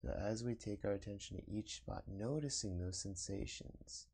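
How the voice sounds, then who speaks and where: Male meditation teacher: deep, gravelly, gently encouraging, unhurried delivery in short phrases. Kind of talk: guided meditation.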